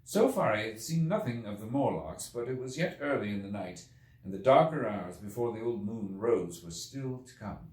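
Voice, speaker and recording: A man voicing an earnest Victorian gentleman time traveler recounting his ordeal in a storybook reading, formal, brooding and deliberate. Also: lowish voice, expressive, lightly acted. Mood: uneasy, hushed. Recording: echoey room, distant from mic.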